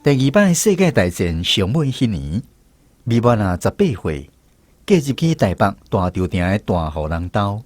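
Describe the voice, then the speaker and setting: A man's voice: on the low side, big pitch swings, moderate pace, dry recording. A man with a Taiwanese accent narrating a radio drama as a scripted storyteller.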